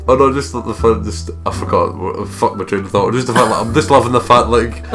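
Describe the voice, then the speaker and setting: A man's voice: medium pitch, quick pace, a bit bright. A young man with a Scottish accent reading game text aloud and adding exuberant, sweary, joking commentary. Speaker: let's play co-host. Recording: music behind the voice.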